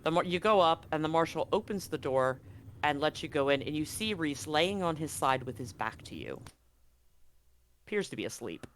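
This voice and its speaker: A game master narrating a tabletop horror game in a dramatic, suspenseful way, with deliberate pauses. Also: medium pitch, very animated, a little hiss or hum.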